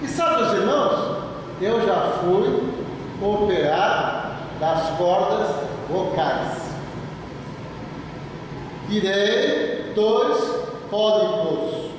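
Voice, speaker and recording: An older male pastor with a Brazilian Portuguese accent, preaching emphatically with a fairly high voice, repeating key words and slowing down to stress them. The sound is distant and washed in the long reverb of a large church, with steady noise underneath. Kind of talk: sermon. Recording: echoey church hall from far off, with noise.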